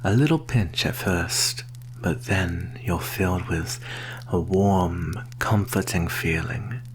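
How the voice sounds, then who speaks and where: A man playing a sly, menacing vampire in an ASMR roleplay, speaking in a soft, breathy half-whisper with slow, formal phrasing. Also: low-pitched, soft background music. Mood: soothing. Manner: slow, deliberate, formal wording. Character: predatory male vampire, sly, coaxing and quietly menacing. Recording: clear background hum and noise.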